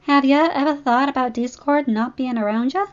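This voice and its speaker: A woman's voice: sits high, normal speed, lo-fi sound, voice pushed up, lightly acted. Down-to-earth farm mare, easygoing and homespun; woman performer. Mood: gentle.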